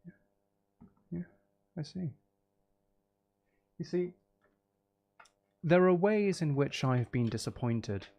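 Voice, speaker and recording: A man's voice: fairly high pitch, animated, takes it slowly, vocal fry, pauses often, darkish tone. A man chatting casually as a livestream host, with playful, teasing, rambling banter and self-deprecating humour. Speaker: livestream host chatting with viewers while playing a game. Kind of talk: casual livestream chat with gameplay commentary.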